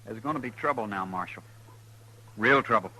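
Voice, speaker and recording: An actor playing a wary, stubborn cowboy on the run, plain-spoken with a rural Western American accent, in an old radio western. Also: male voice, on the low side, moderate pace, bright, forceful tone, rough recording. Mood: shaken. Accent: rural American West. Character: wary, stubborn cowboy fugitive wrongly wanted for murder. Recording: vintage radio, faint noise and hum.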